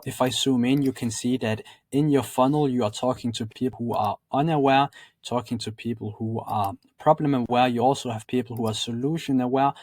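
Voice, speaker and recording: Male tutorial presenter, Danish accent, mid-pitched voice, formal wordy delivery with emphatic openers, very dry recording. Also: a little mellow, no room sound. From Denmark. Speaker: marketing consultant presenting a tutorial. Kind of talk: explanatory video presentation.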